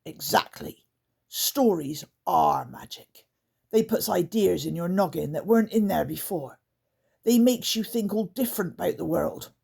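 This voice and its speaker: A woman's voice: mid-range voice, sing-song, normal speed, creaky, warm tone, breathy edge, voice pushed up, full character voice. A woman voicing Grunt, a grumpy, bossy male night creature who barks his words in broken grammar. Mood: gruff.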